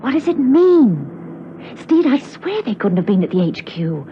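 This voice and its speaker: An actress playing a poised, curious woman agent in a classic spy radio drama, crisp and inquisitive. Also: fairly high pitch, big pitch swings, measured pace. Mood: puzzled, intent. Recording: dull radio recording, faint noise.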